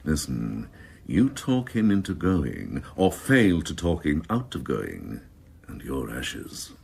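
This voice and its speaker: Very deep, gravelly, pompous police chief type. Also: male voice, wildly swooping pitch, takes it slowly, a little mellow, heavily acted. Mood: sardonic. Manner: pompous, grandiloquent, sardonic. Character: cynical, ruthless, pompous senior male police officer. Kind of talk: radio crime drama acting.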